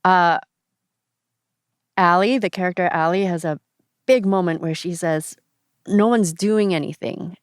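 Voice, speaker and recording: A woman with a very smooth, clear voice sits slightly low in pitch. She muses thoughtfully and poses questions in reflective discussion, recorded dry. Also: a little slow, pauses often, no room sound.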